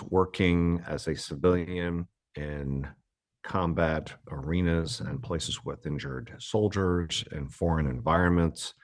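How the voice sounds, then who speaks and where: A man's voice: low-pitched, dry recording, studio quality. A man hosting a medical podcast, reading a formal guest introduction and then asking questions. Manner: formal, reads a long guest biography. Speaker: podcast host. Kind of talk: scripted introduction and interview.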